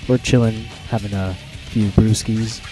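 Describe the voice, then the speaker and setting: A man's voice: fairly low pitch, steady tone, unhurried, warm tone. Casual male podcast host over music.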